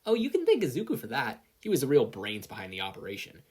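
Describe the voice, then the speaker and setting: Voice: mid-range voice, wildly swooping pitch, fast talker, a little mellow, husky. A reader voicing a bubbly, friendly teenage girl hero student in a story, chirpy and polite.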